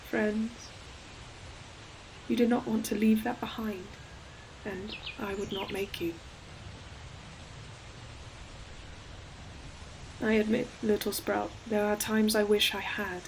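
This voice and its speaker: A woman performing an ancient female forest demon in an audio roleplay, solemn, poetic and deliberate, a tender and sorrowful protective old friend. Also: a little high, darkish tone, a little hiss or hum, rough recording. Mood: sorrowful, brooding. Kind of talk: scripted audio roleplay monologue, slow dramatic storytelling. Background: ambient environmental sound effects.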